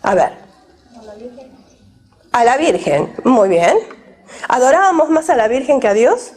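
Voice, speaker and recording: Woman preacher, high, lively delivery, emphatic, puts questions to the room. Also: measured pace, husky. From Argentina. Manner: questions the audience directly, emphatic. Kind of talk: sermon to a women's gathering.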